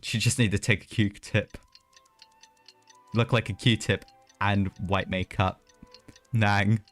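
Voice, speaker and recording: A man with a fairly low voice chats casually as he streams a game. He rambles through asides and pokes fun at himself, with faint game music behind him. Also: level tone, slow pace. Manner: chatty, self-mocking, rambling asides. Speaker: livestreamer. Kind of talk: casual gameplay commentary.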